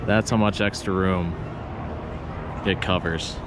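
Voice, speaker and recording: A man in his thirties vlogging to an action camera held at arm's length, talking excitedly as he walks through an outdoor ballpark. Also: low voice, takes it slowly, background noise. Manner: excited, breathless, talking to camera while walking. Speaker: baseball vlogger. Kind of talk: handheld vlog narration.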